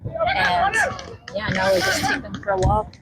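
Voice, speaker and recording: A woman of Filipino heritage, guest on a community radio interview, talking in a soft, hesitant way with many fillers. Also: very high-pitched, wildly swooping pitch, a little slow, a crowd in the background, background noise. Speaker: guest, mobile library founder. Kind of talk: casual interview talk.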